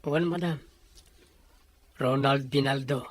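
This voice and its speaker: A man's voice: medium pitch, fairly even intonation, slow pace, no room sound. A man playing a shy, humble young farmer in love, speaking earnestly and respectfully with a Filipino Ilocano accent. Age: young adult. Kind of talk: radio drama dialogue.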